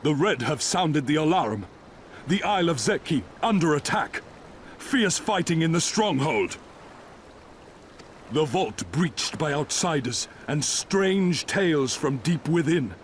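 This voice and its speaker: A solemn bringer of war news from a video game. He speaks slowly in portentous, clipped phrases, slightly high in pitch. Faint ambient noise sits behind the voice. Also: lively intonation. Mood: grave, portentous. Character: grave male messenger reporting war news, solemn. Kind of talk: video game cutscene dialogue.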